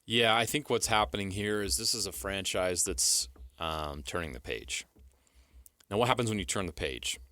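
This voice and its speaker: Deep forceful guy breaking down sports on the radio, sure of himself, asks then answers. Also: moderate pace, no echo. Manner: assured, explanatory, poses questions and answers them. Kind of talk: sports talk analysis. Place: radio studio.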